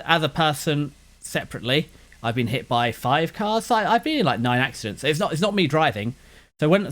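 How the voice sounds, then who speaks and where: A man's voice: medium pitch, a bit bright. A man from West London talks in a loose, rambling way with a London accent. His words run together and he has a slight lisp, and he often stops and restarts his sentences as casual chat. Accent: London English. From West London, England. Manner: meandering with frequent restarts. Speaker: anime channel host.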